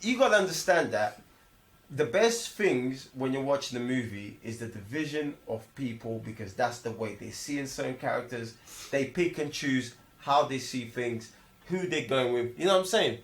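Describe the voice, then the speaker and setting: A man with a London British accent chatting about films in an excitable, emphatic, slangy way, repeating his phrases. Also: mid-range voice, moderate pace. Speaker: film review panellist. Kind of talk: casual film chat. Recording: echoey room sound.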